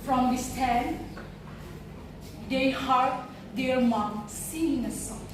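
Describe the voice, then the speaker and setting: A woman's voice: high-pitched, takes it slowly, noisy background. A woman narrating a scripted folk story as part of a stage play. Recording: echoey room, far from mic, music behind. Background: music.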